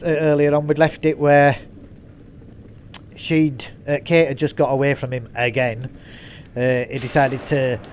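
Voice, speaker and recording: A man's voice: medium pitch, darkish tone, slight background noise. A man, congested with a head cold, chatting casually as he introduces a gameplay video with plenty of ums. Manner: chatty, rambling, frequent ums. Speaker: gaming channel host. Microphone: desk mic he switches off.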